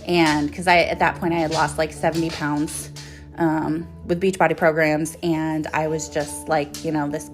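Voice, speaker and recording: A woman's voice: low-pitched, level tone, normal speed. A woman in her thirties telling personal stories casually and candidly, rambling and swearing freely as she speaks as a host. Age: 30s. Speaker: host, author and motivational speaker. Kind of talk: casual personal storytelling monologue. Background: music.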